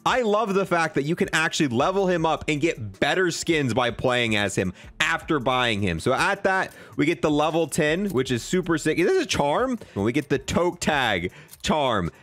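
A man hosting a game review video with excited commentary, talking in an enthusiastic, slangy bro style. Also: a little high, moderate pace.

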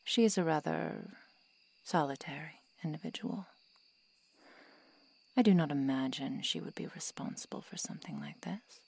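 A woman playing a stern, world-weary vampire in an ASMR roleplay drama, husky, with measured, formal, solemn diction. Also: low-pitched, a little mellow, full character voice. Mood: grave, guarded.

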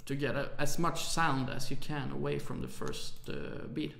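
Hesitant man, gravelly voice. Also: mid-range voice, big pitch swings, normal speed, reverberant. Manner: hesitant, many "uh" fillers, apologetic about his English. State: tired, late at night. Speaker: music production tutor. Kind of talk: screen-recorded software tutorial.

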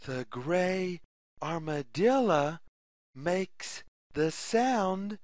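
A children's storyteller reads aloud extremely slowly, setting each phrase apart. The pitch swings widely in a sing-song pattern. The sound is dry and close, suited to a simple picture book for young listeners. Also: expressive, darkish tone, no echo. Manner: deliberate, word-by-word delivery with sing-song questions.

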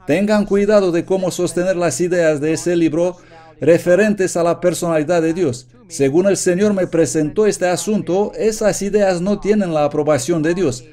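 An earnest male preacher delivers a sermon with steady conviction. His voice sits a little high yet stays soft and mellow. He reads quoted passages aloud with careful emphasis. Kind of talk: sermon read from notes, Spanish voice-over.

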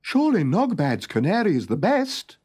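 A man's voice: high-pitched, huge pitch swings, moderate pace, darkish tone, breathy edge, voice pushed up, exaggerated voice. A man voicing an earnest, commanding storybook king. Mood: admiring.

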